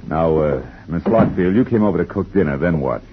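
An actor playing a wry, hard-boiled private detective who narrates, in a 1950s radio drama with a dull vintage sound. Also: male voice, sits low, lively intonation, brisk, slight background noise. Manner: dry, laconic, wisecracking.

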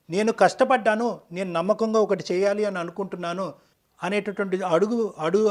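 A man counseling in a firm, didactic way as an advice show counselor, dropping English phrases into his speech. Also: high voice. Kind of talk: advice-giving counseling talk.

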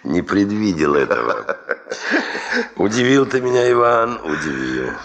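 An actor playing a proud, driven, stubborn expedition chief in a 1970s Soviet radio play, emphatic and self-assured. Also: male voice, sits low, very animated, a little slow, fairly bright tone, full character voice. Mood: taken aback.

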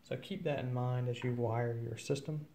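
A man giving an informal, matter-of-fact instructional walkthrough of equipment, with hesitant pauses. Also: mid-range voice, fairly even intonation, warm tone, a little hiss or hum. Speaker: presenter of an equipment demo. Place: indoors at a wooden table at home.

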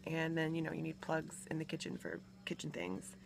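A woman's voice: sits low, animated, moderate pace, creaky, background noise. A young woman filming herself on a phone at arm's length, chatting casually about building her house and thinking aloud with fillers. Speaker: family vlogger. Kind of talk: casual home-building vlog. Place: house under construction and a back porch. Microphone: phone held at arm's length.

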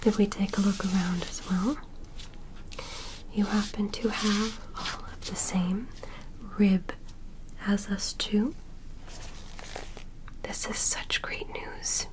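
A breathy, whispered female alien examiner, acted by a woman for an ASMR medical roleplay. She speaks extremely slowly and deliberately, in short, simple instructions. Her manner is gentle and curious. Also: mid-range voice, little pitch movement, natural voice, low-quality recording. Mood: curious, pleased. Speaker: ASMR performer playing an examiner.

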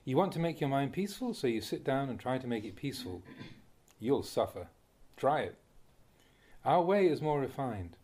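A man reading a Buddhist Dhamma talk aloud as a monk, deliberately, in short phrases with pauses. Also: mid-range voice, warm tone, breathy edge. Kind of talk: read-aloud Dhamma talk, measured teaching.

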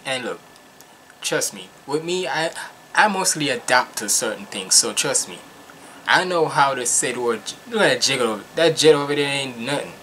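A young man from Jamaica giving casual, animated and slangy commentary as he reacts to music at home. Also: medium pitch, normal speed, slight background noise, low-quality recording. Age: young adult. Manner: animated, slangy, emphatic, repetitive. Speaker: reaction video host. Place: small furnished room at home.

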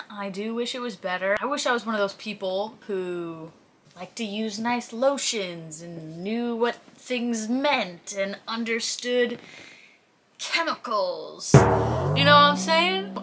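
A woman's voice: fairly high pitch, a bit bright, slight background noise. A woman, chatting casually to camera and acting out the stories she tells. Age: 20s. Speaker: fitness content creator. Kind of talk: casual chat, answering viewer questions to camera. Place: small plain indoor room, seated.

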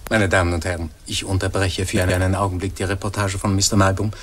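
Radio drama studio announcer, played by a man: low, formal, slightly noisy broadcast sound. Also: flat delivery, fast talker, natural voice.

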